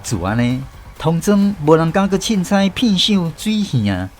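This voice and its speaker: A man's voice: fairly high pitch, big pitch swings, normal speed. A man narrating a village story in a radio drama, looking back on his childhood. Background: music.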